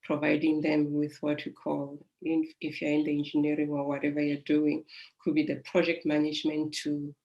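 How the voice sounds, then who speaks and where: A middle-aged woman with a South African accent speaks with a calm, soothing voice. Her voice sits low with a gravelly texture and stays flat and even in pitch. She talks in a reflective, unhurried way as a life coach telling her story. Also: dull audio. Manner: reflective, unhurried, with soft laughs. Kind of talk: personal storytelling.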